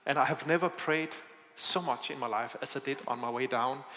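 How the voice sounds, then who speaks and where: Danish-accented preacher in an echoey hall. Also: male voice, medium pitch, brisk, bright, forceful tone. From Denmark. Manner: chatty, self-deprecating, laughs often. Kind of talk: sermon with personal stories. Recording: echoey hall with long reverb.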